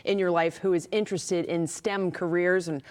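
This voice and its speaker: A woman's voice: lowish voice, steady tone, normal speed. An American woman speaking as a TV host, conversational and upbeat, interviewing a guest in a studio.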